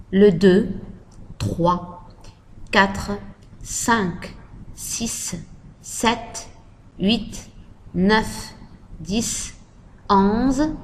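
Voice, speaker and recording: A woman giving a French lesson, talking slowly and repeating model phrases for learners. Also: medium pitch, slight background noise. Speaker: French teacher. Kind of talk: slow spoken language lesson.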